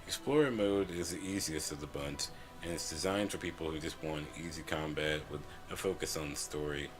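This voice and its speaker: A man reviewing a video game in scripted narration, a little stuffy from a cold, plain and friendly. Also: a bass voice.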